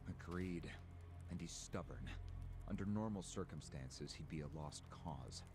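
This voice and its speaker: A man playing a guarded, cynical political fixer in a dramatic video game, talking in a measured, sardonic way. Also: sits low, over music. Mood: calm, explaining. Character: guarded, cynical male political fixer serving a powerful boss.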